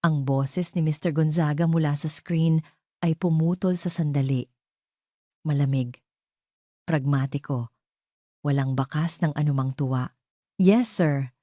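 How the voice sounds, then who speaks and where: A female narrator reads a dramatic story from a script. Her voice is deep for a woman and softly dark. The sound is close and dry, with little room on it. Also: fairly even intonation, unhurried, pauses often, no room sound.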